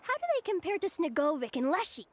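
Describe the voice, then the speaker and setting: High-pitched, impatient young schoolgirl. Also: very animated, quick pace, a little hiss or hum. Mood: flustered, curious. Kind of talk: video game voice acting.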